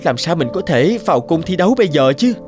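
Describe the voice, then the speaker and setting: Earnest young knight, fairly high voice. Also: male voice, sing-song, fast, music in the background. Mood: dejected.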